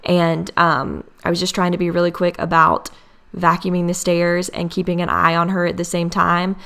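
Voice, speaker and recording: A young woman narrates her cleaning routine in a chatty, step-by-step way. Her voice is raspy and scratchy from a cold, low-set and gravelly with fry. She keeps a fairly flat, even tone. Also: moderate pace. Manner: chatty, explains each task step by step. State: hoarse from a cold. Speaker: cleaning vlogger. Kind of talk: casual voice-over narration.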